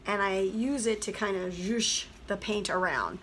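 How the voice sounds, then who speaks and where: A hoarse woman, a teacher, chattily explaining a craft project step by step. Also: mid-range voice, measured pace, fairly bright tone, slight background noise. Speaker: craft tutorial host. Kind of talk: craft tutorial voice-over.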